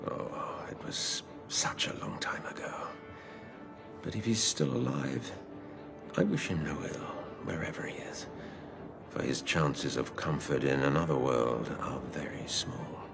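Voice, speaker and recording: A man playing a seafarer who tells his past adventure in a measured, literary, expressive way. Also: music in the background, full character voice. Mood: gentle. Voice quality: low, gravelly. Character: older seafaring man telling his past adventure to a boy, wry and fond. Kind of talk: dramatised storytelling narration.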